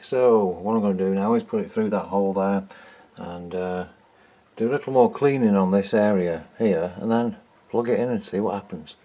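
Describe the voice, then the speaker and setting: Male hobbyist repairer for a vlog: lowish pitch, dark mellow tone, informal, tentative think-aloud commentary. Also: moderate pace, lo-fi sound. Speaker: hobbyist repairer presenting own video. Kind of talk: casual hands-on repair commentary.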